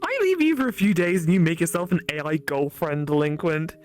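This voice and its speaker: A woman voicing a teasing, skeptical female best friend with a sarcastic, animated delivery. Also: fairly low pitch, brisk, faint music behind, natural voice.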